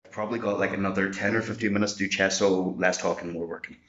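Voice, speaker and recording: A young man talks to camera between lifts, loose, chatty and easily hyped. His voice sits fairly low and comes through a dull, lo-fi camera mic. The room around him rings with echo. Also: fairly even intonation, quick pace, noisy background. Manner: boisterous, chatty, swears when hyped. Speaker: vlogger. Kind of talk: casual workout vlog. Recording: echoey, dull lo-fi room sound.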